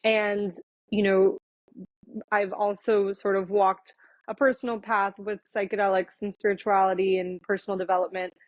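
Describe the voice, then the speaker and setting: A woman in her thirties, a lawyer guest on a podcast, talking reflectively in a relaxed conversational way with many fillers and restarts. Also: medium pitch, normal speed, dry recording, lo-fi sound. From born in Thailand, raised in Florida and India. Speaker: guest, attorney.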